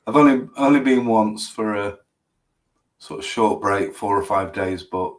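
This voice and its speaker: A man co-hosting a livestream on a video call, hesitant, with lots of fillers and soft laughs. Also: lowish voice, a little slow. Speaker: livestream co-host. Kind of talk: casual reminiscing chat.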